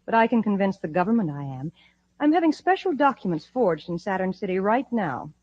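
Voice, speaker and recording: A woman's voice: medium pitch, sing-song, a little fast, a little mellow, no echo. An actress playing a confident, commanding woman crime boss in a 1950s science fiction radio serial. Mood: smug, scheming. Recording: dull, dry old radio recording.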